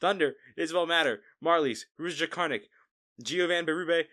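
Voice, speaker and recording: A man hosting an audio channel, chatting casually to listeners in an animated, rambling way and stumbling over words. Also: fairly high pitch, normal speed. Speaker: audio roleplay creator and host.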